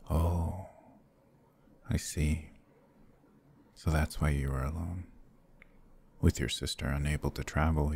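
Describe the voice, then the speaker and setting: A man playing a courteous, protective, brooding vampire lord in an intimate ASMR roleplay, speaking gently and formally with a husky, halting delivery. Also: rumbling low, full character voice.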